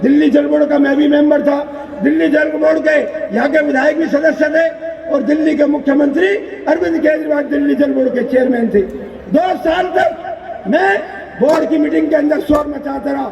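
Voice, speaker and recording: A man giving a loud, declamatory campaign speech as a politician, using repeated phrases and rhetorical questions. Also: extremely high pitch, steady tone, moderate pace, muffled recording. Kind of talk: political rally oratory. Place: large public political gathering. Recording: echoey PA at crowded rally, distant mic.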